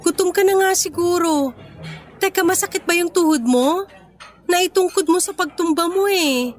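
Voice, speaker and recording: A young businesswoman girlfriend in a Filipino radio romance. Her voice sits quite high and she is expressive and teasing, playful but careful with her heart. Music plays behind her. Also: moderate pace. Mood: alarmed, emotional. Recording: background music under the voice.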